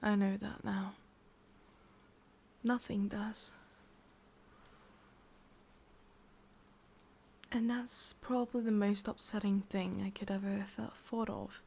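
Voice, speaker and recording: A woman with an English accent voicing a possessive, sadistic witch in a slow, breathy ASMR roleplay whisper. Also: mid-range voice, fairly even intonation, a little mellow. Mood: wistful, brooding. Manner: slow, intimate, teasing. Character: sadistic, possessive witch, softly menacing and doting.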